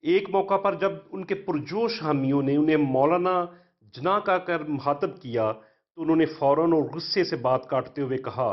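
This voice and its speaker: A man with a Pakistani accent reading a formal, measured scripted educational narration as a history narrator. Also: a little high, muffled recording.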